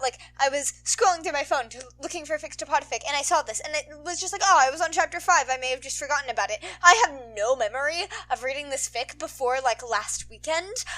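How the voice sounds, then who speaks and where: A woman hosting her fan-fiction readings, chatting casually to listeners in a gushing, rambling, slangy way, peppered with "like". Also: sits high. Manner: gushing, excitable, slangy, frequent "like". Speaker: podfic reader and host.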